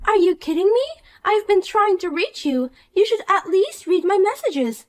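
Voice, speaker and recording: A woman's voice: very high voice, big pitch swings, moderate pace, pitched above the performer's own voice. A woman voicing a vain, spiteful young woman who was once the most popular girl at school, talking down to an old classmate. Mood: scornful. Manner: condescending, insulting, bossy. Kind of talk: animated story dialogue.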